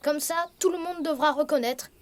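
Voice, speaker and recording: Woman voicing a high-pitched boastful boy tiger cub. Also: moderate pace, close to an everyday voice. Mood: sulky, boastful. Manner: childlike, indignant, bragging. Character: boastful, sulky young male tiger cub. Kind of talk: children's cartoon dub acting. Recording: music behind the voice.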